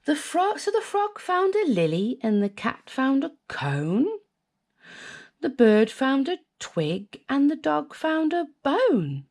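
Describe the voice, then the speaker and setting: A woman's voice: sits high, big pitch swings, slow pace, darkish tone. A woman with a British accent reading a children's story expressively, dramatically drawing out words. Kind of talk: expressive scripted storybook narration.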